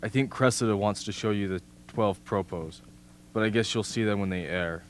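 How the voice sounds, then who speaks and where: A man's voice: lowish voice, moderate pace, a little hiss or hum, close to an everyday voice. A man playing a matter-of-fact military messenger in a radio drama. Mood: brisk, neutral. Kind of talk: amateur radio drama acting.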